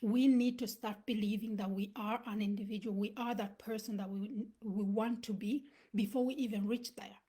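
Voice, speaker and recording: A woman's voice: medium pitch, steady tone, moderate pace, hardly any pauses, dark tone, breathy edge. A woman in her thirties, born in Uganda, giving an earnest motivational talk on a live stream and dropping to a whisper for emphasis. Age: 30s. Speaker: motivational speaker.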